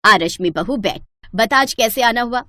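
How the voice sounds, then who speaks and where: A woman's voice: on the high side, animated, normal speed, pushed and bright, no echo. A woman voicing an older mother-in-law in a moral story, lecturing and emphatic as she praises or scolds. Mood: gushing approval. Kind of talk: acted moral-story dialogue.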